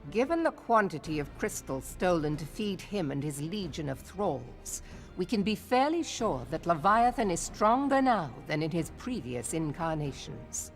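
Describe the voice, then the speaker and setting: Low, stern fantasy navy admiral. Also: female voice, sing-song, normal speed, soft background music. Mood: grim, grave. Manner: stern, emphatic, salty archaic phrasing. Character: commanding, blunt female navy admiral of a fantasy realm. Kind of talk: scripted video game voice acting.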